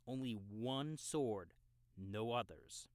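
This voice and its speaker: A man's voice: medium pitch, slow, dry recording, clean studio sound, natural voice. A man playing a cocky, laid-back young gamer guide in an audiobook, casual, slangy and teasing. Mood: explanatory. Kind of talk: audiobook character dialogue in a game-world story.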